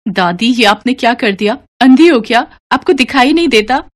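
A woman's voice: on the high side, lively intonation, normal speed, pitched above the performer's own voice, close to an everyday voice. Woman as a spoiled, whiny young girl. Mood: angry. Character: spoiled, demanding young granddaughter.

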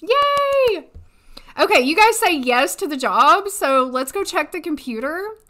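A woman in her thirties, hoarse today, chatting casually and thinking aloud as she streams a life-sim game from a desk at home. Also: very high-pitched, big pitch swings, unhurried, bright, forceful tone. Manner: chatty, thinking aloud, frequent little laughs. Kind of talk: casual gameplay commentary. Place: home room, seated at desk.

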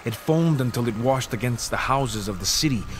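An actor playing a proud, grave Aztec jaguar warrior, narrating a game cutscene in a solemn, measured storytelling manner. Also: male voice, mid-range voice, animated, a little hiss or hum, close to an everyday voice.

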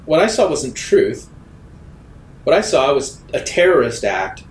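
A man voicing a stern, authoritarian female security captain in a tabletop game, with a measured, accusing manner. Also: medium pitch, sing-song, reverberant, slight background noise. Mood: defiant, accusing.

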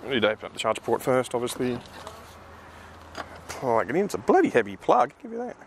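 A man's voice: mid-range voice, wildly swooping pitch, brisk, a little hiss or hum. A middle-aged Australian man narrating a casual road trip vlog from inside his car, chatty and loose, with asides and fillers. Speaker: EV vlogger. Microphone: dash-mounted camera. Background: background music.

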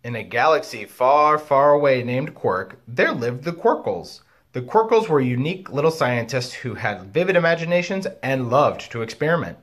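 A man in his thirties reading a children's storybook aloud as a teacher, with exaggerated, expressive emphasis, recorded indoors at home. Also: mid-range voice, moderate pace. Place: living room, indoors.

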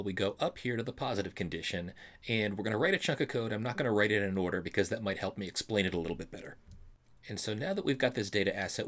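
A man's voice: on the low side, fairly bright tone. A man explaining things step by step as an instructor in a screencast programming tutorial, reassuring and stressing key terms. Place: voice-over for a screen recording.

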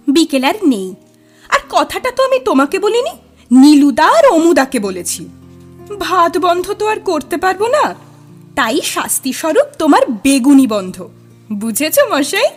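An actress playing a playful young newlywed wife in an audio drama, coquettish and mock-scolding. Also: female voice, high-pitched.

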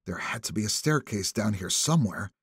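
A man narrating a thriller audiobook in the first person, with expressive, suspenseful phrasing. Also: lowish voice, brisk, breathy edge, no room sound.

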